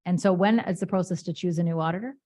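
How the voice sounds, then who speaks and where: Quick, chatty woman asking questions. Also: lowish voice, mellow. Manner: chatty, self-deprecating. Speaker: board member. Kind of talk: asking questions at a board meeting.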